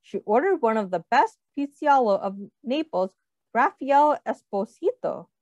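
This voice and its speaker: A woman reading a picture book aloud to young children, expressively, pausing to explain and ask questions. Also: fairly high pitch, big pitch swings, a little mellow, dry recording, muffled recording. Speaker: read-aloud host, teacher.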